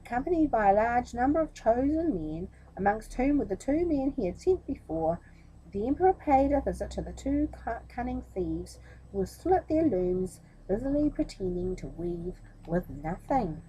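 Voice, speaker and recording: A woman tells a children's story in a mellow voice with very varied intonation. She is expressive and speaks straight to her young listeners. Faint room noise sits behind her. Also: on the high side, normal speed, low-quality recording. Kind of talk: children's storybook reading with chatty asides.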